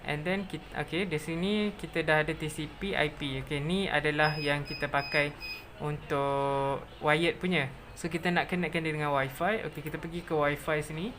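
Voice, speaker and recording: A presenter with a Malaysian accent narrating a step-by-step software tutorial, mixing in English technical terms. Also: mid-range voice, fairly even intonation, moderate pace, background noise.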